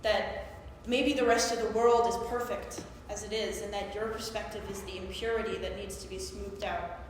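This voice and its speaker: A woman's voice: medium pitch, fairly even intonation, normal speed, close to an everyday voice. Woman acting geeky physics monologuist, echoey hall. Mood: wistful. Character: earnest, geeky woman obsessed with physics, wistful and animated. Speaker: actor in a staged play reading. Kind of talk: theatrical monologue, explanatory. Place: live staged reading at a conference. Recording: very echoey hall, faint noise.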